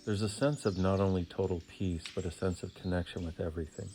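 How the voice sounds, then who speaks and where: A man leading a guided meditation in a soothing, deliberate way, with short phrases and long pauses. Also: deep voice, steady tone.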